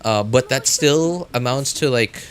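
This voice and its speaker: A man chatting casually to viewers as a game livestreamer, rambling and often repeating himself in a halting way. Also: mid-range voice.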